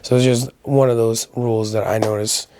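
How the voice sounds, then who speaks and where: A man hosting a casual solo video commentary, giving opinions conversationally, his voice a little raspy as he gets over a sickness. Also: mid-range voice, little pitch movement, normal speed, dark tone, slight background noise. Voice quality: slightly raspy. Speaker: host of a powerlifting recap channel.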